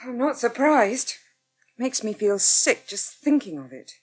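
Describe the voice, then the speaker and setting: An actress playing a blunt, indignant and protective young flatmate in a naturalistic radio soap, sharp-tongued. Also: female voice, on the high side, measured pace. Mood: cool.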